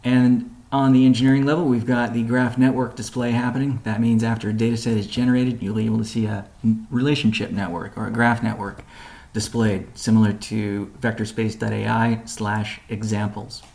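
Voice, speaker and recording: A man gives a company update in the style of a business conference call. His voice is lowish with a strong gravelly fry. He explains in a measured way, pausing deliberately, and his pitch stays fairly level. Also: level tone, a little mellow. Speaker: company spokesman presenting an update.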